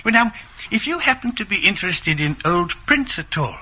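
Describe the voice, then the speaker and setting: High-voiced, chatty old shopkeeper. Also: male voice, big pitch swings, normal speed, sharp tone, husky, full character voice. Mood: wistful, chatty. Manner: hesitant, rambling, chuckling, wistful. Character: chatty, genial old widowed junk shop keeper. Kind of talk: radio drama acting.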